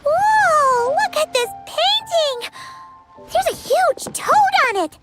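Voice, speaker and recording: A woman playing a tiny, childlike, cheeky fairy companion in an anime video game, with an excitable, squeaky voice. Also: slow, faint music behind, full character voice. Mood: excited. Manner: excitable, exclaiming, refers to self by name.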